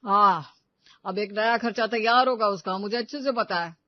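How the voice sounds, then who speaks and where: A man's voice: high voice, moderate pace, no room sound, lo-fi sound, natural voice. A man playing a grumbling but indulgent middle-aged husband and father in a moral story.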